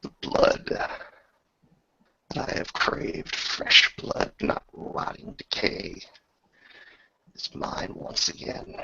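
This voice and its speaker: A man voicing an ancient, fanged undead warrior lord who speaks in slow, formal, archaic phrases with dramatic pauses, in a tabletop game. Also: pushed and bright, a little hiss or hum, full character voice. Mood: bloodthirsty. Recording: dull lo-fi recording.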